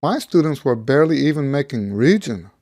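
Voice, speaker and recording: A middle-aged American man, slightly hoarse as he gets over losing his voice, talking casually and ramblingly like a teacher sharing experience. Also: medium pitch, big pitch swings, a little fast, darkish tone, dry recording, studio quality. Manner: rambling, conversational, thinking aloud. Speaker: trumpet teacher. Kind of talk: casual talk to camera, personal anecdote.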